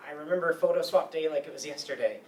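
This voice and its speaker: A man's voice: fairly high pitch, close to an everyday voice. An actor playing a bitter, neurotic man with an old grudge in a staged play reading, wry and hesitant. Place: meeting room used for a staged reading. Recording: roomy, distant mic, faint noise.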